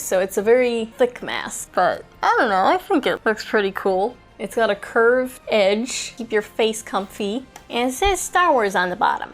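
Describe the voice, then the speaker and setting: A woman with a mid-range voice talks casually through a hands-on toy review. She thinks aloud in a conversational, chatty way, and faint music plays behind her. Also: medium pitch.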